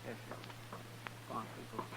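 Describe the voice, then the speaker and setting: An actor playing a loyal, simple-hearted deputy in a radio western, rambling deferentially in a twangy rural Western drawl. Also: male voice, moderate pace, pushed and bright, close to an everyday voice. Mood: dutiful. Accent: rural American Western drawl. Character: folksy, loyal, simple-hearted deputy sidekick in Old West. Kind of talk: 1950s radio western acting. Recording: noisy, humming old radio recording.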